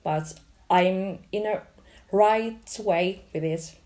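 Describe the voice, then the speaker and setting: A woman talking about her stutter, halting with blocks and "uh" pauses. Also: medium pitch, mellow. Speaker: community member sharing experience. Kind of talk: personal testimony to camera.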